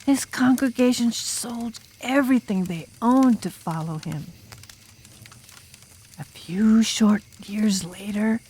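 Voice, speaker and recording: A woman voicing an enigmatic drum major student telling a demon horror tale in an audiobook, with ominous, drawn-out, dramatic delivery. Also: fairly high pitch, warm tone, slight background noise. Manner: ominous, drawn-out, dramatic, sometimes dropping to a whisper. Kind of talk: audiobook ghost story narration in character.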